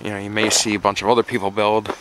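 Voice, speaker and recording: A man's voice: lowish voice, level tone, fast. A man giving casual, informal how-to vlog talk, stressing points by repeating them, recorded on a handheld camera. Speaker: backyard chicken keeper and vlogger. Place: outdoors in a yard and inside a small wooden coop. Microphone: handheld camera, operator behind it. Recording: noisy handheld camera audio.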